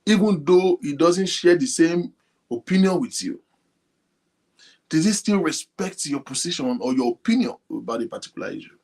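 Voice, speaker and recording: A man hosting an online talk show, speaking softly and addressing his viewers directly. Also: fairly high pitch, animated, moderate pace. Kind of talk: casual talk to a live audience. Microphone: phone linked to a computer.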